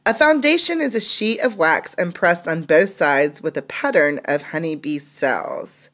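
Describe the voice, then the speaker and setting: A woman's voice: fairly low pitch, lively intonation, unhurried, a bit bright. A woman teacher reading a children's nonfiction book aloud, adding explanatory asides for young listeners.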